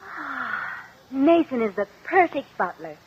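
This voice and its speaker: An actress playing a spoiled, theatrical young heiress niece in 1950s radio drama style. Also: female voice, high-pitched, sing-song, slow, background noise, full character voice. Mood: flippant. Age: young adult. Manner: theatrical, pleading. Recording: dull lo-fi old radio recording.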